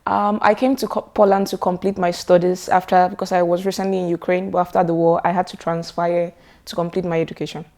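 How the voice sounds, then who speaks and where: A woman's voice: on the low side, little pitch movement, fast talker, warm tone. A woman from Nigeria, telling her story with good humor in an interview. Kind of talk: casual interview answers.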